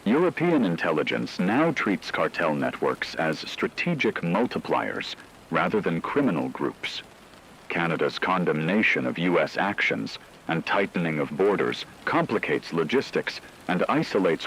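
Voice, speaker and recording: A man playing a grave radio news anchor, delivering a formal, measured scripted emergency broadcast. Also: sits low, a bit bright, a little hiss or hum, heavily acted, low-quality recording.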